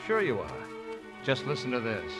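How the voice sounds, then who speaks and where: An American actor playing an easygoing, gently teasing young romantic lead in a 1950s radio musical comedy. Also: male voice, medium pitch, wildly swooping pitch, normal speed, over music. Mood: gentle, warm. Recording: vintage 1950 radio broadcast recording.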